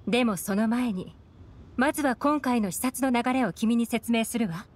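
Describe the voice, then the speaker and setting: A woman's voice: on the high side, normal speed, slight background noise, lightly acted. Cool, composed young woman in command acted. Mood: businesslike. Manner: poised, matter-of-fact, assured. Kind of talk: anime video game dialogue.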